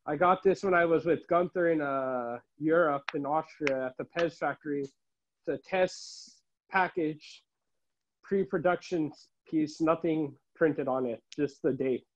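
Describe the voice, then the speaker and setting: A middle-aged man giving a casual show-and-tell tour as a collector, listing items haltingly, recorded indoors on a handheld phone. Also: on the high side. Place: room at home, indoors. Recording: dull, muffled phone audio.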